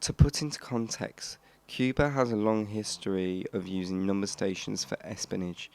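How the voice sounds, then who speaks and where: A man's voice: lowish voice, fairly even intonation, normal speed, vocal fry, darkish tone. A man hosting a hobby explainer, reading scripted narration and now and then stumbling over his words. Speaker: host of a radio hobby channel.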